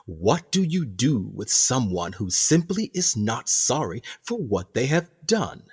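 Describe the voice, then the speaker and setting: A man playing a smooth, sinister prison director in a radio play, courteous, formal and theatrical in his eloquent, measured speech. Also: mid-range voice, sing-song, full character voice. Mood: proudly explaining. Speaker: actor in an audio drama.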